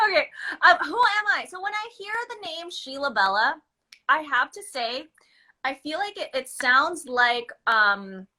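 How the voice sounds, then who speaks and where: A woman in her thirties from the Philippines speaks with a high, bright voice. She chats loosely as an interview guest, laughing often. She talks about her own business and trips over her own sentences. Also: very animated, a little slow. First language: Filipino. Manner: chatty, laughs often, many restarts. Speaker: guest, beauty business owner. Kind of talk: casual interview chat.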